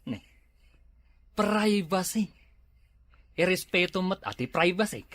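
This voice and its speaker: A man's voice: sits high, lively intonation, slow, fairly bright tone. A man with an Ilocano accent, playing an evasive husband in a comedy drama, mumbling his excuses. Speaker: husband accused of cheating.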